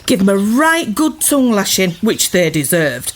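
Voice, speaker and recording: A woman's voice: medium pitch, sing-song, moderate pace. A woman playing an indignant, gossipy scolding wife and mother in old-time York, with a Yorkshire accent, in a comic audio drama. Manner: outraged, gossipy, emphatic complaining.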